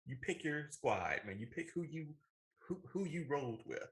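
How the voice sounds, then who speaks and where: A man's voice: on the high side, expressive, normal speed, fairly bright tone. A man co-hosting a casual, opinionated podcast, telling stories in a colloquial, emphatic way. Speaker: podcast co-host. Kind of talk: casual opinionated chat about films.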